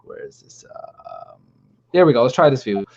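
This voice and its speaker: A man's voice: mid-range voice, lively intonation, moderate pace, creaky, darkish tone. A man hosting a casual chat on a video call, rambling and chatty. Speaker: stream host. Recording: lo-fi call audio.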